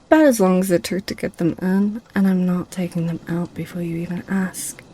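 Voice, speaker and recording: A woman's voice: lowish voice, steady tone, a little fast, dark tone, a little hiss or hum, natural voice. A woman playing a seductive, possessive succubus in an ASMR roleplay, with a teasing, sly way of talking. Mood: possessive, smug. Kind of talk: ASMR roleplay audio drama.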